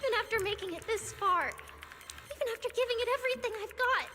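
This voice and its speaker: High-pitched earnest young woman technician. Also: brisk. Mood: distraught. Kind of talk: video game voice acting. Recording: background music under the voice.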